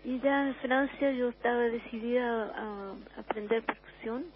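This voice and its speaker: An older woman from Uruguay with a Chilean accent, a musician guest on a radio interview, talking in a reflective, unhurried way with many restarts. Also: on the high side, fairly even intonation, dull audio, soft background music.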